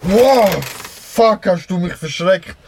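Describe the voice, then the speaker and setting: Slow, bewildered young Swiss German man. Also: high voice, animated, a little mellow, a little hiss or hum, heavily acted. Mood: startled. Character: frightened, disoriented young man waking in a strange hut. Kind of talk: audio drama, acted scene.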